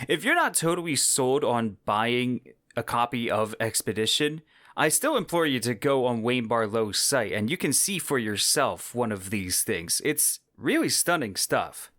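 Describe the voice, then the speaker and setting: A man's voice: medium pitch, fairly bright tone, dry recording. A man with a mid-range voice hosts a podcast, recorded dry and close. He narrates descriptively and with expression, sounding enthusiastic about what he presents. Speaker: podcast host.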